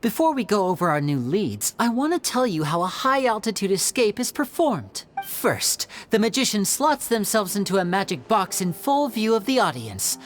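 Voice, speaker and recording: A woman playing a poised stage magician in a video game, speaking in a theatrical, measured, confiding way. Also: medium pitch, big pitch swings, soft background music, close to an everyday voice. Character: poised female stage magician explaining tricks and clues. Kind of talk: scripted video game voice acting.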